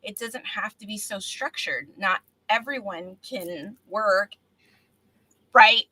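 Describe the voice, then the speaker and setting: A woman's voice: medium pitch, slow pace, sharp tone, husky, poor audio. A woman hosting a casual live-streamed interview, speaking in an encouraging, affirming manner. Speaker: talk show host.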